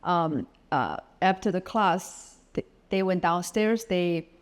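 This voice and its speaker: Korean-born woman, middle-aged, talks super slow with lots of ums, lowish voice. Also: animated. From South Korea. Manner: slow, hesitant, many pauses and fillers. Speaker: guest. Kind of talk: casual interview. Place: home studio.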